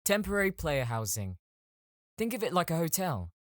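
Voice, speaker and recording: Man voicing a theatrical young mage, swooping pitch. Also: big pitch swings, moderate pace, dry recording, studio quality. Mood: matter-of-fact. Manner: theatrical, grand announcing. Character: showy, smirking young male game mage.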